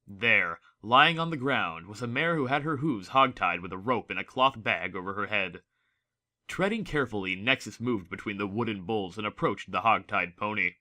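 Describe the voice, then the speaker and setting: A man's voice: fairly low pitch, expressive, normal speed, bright, forceful tone. A man reading scripted story narration as the narrator of a dramatic audiobook.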